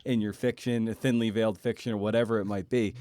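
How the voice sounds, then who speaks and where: A man hosting a podcast interview in a casual, halting way, often repeating words as he forms his thoughts. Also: lowish voice, fairly even intonation, dark tone, no echo. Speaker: podcast host and writer.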